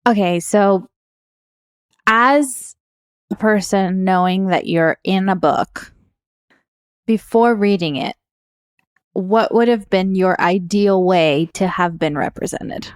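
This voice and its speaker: A woman's voice: medium pitch, takes it slowly, pauses often, smooth, no room sound. Female podcast guest, mid-range voice, curious and teasing, informal conversational delivery, dry sound. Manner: inquisitive, teasing. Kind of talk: casual podcast chat.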